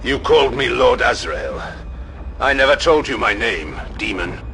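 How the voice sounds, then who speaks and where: A stern, commanding warrior lord, played by a man in an audio drama. His delivery is curt and cold in a bright, forceful voice, over faint music. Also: medium pitch, very animated, unhurried, vocal fry, full character voice. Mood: cold, knowing. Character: stern, commanding male armoured warrior leader.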